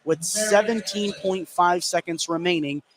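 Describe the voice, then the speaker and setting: An American man doing live basketball play-by-play, getting excited and stretching his words on big plays. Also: sits high, measured pace, crowd noise. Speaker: sports play-by-play announcer. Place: indoor high school gym. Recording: clear gym noise behind the voice. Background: gymnasium ambience.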